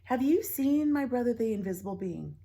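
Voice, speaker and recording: Wise gentle storybook woman, soft and questioning, slightly husky, read by a woman. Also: on the high side, animated, a little fast, voice pushed up. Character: wise gentle older woman, a spirit's sister.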